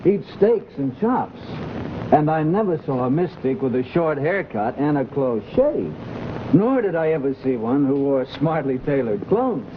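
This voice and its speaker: An actor playing a bookish skeptic in an old American radio drama, arguing dryly with hesitant, thoughtful phrasing. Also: male voice, sing-song, a little slow, noisy background. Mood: dry skepticism. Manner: hesitant, dry, argumentative. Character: skeptical, bookish man debating the occult. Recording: dull, rumbling old radio recording.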